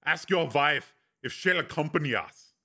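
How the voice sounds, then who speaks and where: A man's voice: a little high, lively intonation, normal speed, pushed and bright, voice pushed up. A man voicing a cool, commanding investigator in an audiobook, speaking formally and precisely with polite authority. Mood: cool, resolute. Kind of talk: audiobook character acting.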